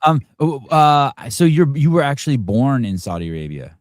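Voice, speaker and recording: A man's voice: medium pitch, sing-song, normal speed, dry recording, clean studio sound. A middle-aged man hosting a live interview, rambling and self-deprecating with many restarts. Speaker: podcast host.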